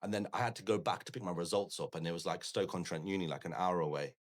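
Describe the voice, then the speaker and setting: Deep voiced midlands guy, punjabi first language, chatting on a podcast and telling stories about himself. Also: fast. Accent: English Midlands. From Coventry, England. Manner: talkative, anecdotal, often quoting imagined dialogue. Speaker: podcast guest, forex trader and educator. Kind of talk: conversational podcast interview, telling personal stories.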